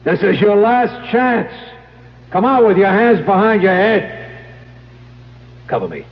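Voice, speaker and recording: High-pitched American raid leader. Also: male voice, big pitch swings, unhurried, full character voice. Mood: urgent. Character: urgent, commanding male police raid leader. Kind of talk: 1970s radio drama acting. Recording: very noisy, dull 1970s radio broadcast.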